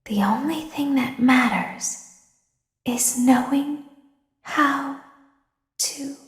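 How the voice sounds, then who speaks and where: A woman voicing a sinister, girlish female animatronic who talks in a soft, menacing whisper. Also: sits high, very slow, roomy sound. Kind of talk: fan voice acting of game lines.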